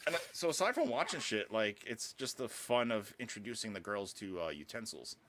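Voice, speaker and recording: This is a man in his thirties on a podcast, rambling through casual chat. He is full of fillers and offhand swearing. His voice sits low. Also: lively intonation, brisk, noisy background. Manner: rambling, lots of filler words and profanity. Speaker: podcast co-host.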